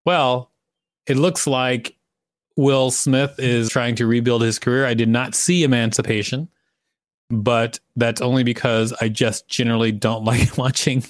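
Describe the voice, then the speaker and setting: A man's voice: medium pitch, dry recording, studio quality. A man co-hosting a podcast, reading an article aloud and chatting casually. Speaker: podcast co-host.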